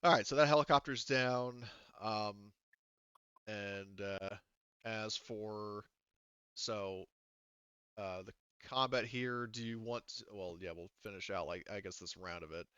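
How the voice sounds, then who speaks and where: Bright-voiced man running a tabletop game. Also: lowish voice, slow, smooth, no echo, highs cut off. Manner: informal, casually profane. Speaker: game master. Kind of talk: narrating a tabletop roleplaying game, casual.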